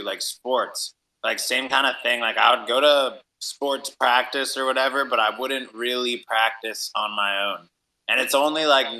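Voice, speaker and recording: A man's voice: medium pitch, sharp tone, rough recording. A young adult man hosting a casual interview, agreeable and informal, sprinkling in "like" and "right?". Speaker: podcast host, interviewer.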